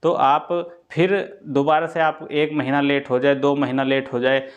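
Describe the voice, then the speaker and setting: A man's voice: medium pitch, level tone, unhurried, lo-fi sound. A man explains things informally to students as a coaching presenter, repeating key points and often checking in.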